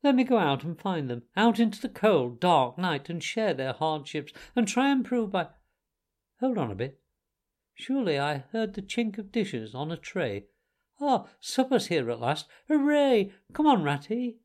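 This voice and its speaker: A man voicing an excitable, theatrical male toad who swings between contrition and glee. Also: high voice, normal speed, voice pushed up. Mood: excited. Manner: flighty and theatrical.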